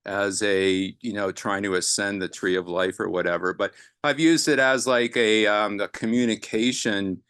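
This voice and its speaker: Male panelist, bright resonant tone, relaxed and unhurried. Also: on the low side, clear, resonant tone, no room sound, clean studio sound. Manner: relaxed, thinks aloud with fillers. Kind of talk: casual roundtable discussion.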